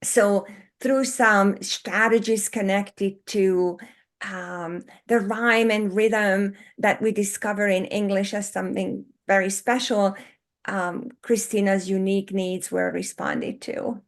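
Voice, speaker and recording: A woman whose first language is Hungarian talks as a guest on an education interview. She is animated and expressive, stretching key words and rephrasing as she thinks. Her pitch sits in the middle of the usual range. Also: takes it slowly. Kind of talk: conversational podcast interview.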